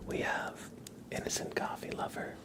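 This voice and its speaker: A man whispering softly and breathily close to the mic as he hosts an ASMR livestream, chatting casually and gently repeating words. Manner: soft, repeats words and names slowly.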